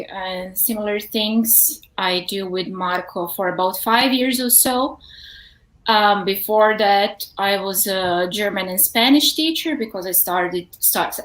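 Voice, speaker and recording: Slow, easygoing woman on an echoey call. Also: medium pitch. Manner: relaxed, with fillers. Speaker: tango teacher. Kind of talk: self-introduction on a panel. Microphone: video call. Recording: echoey room on a video call.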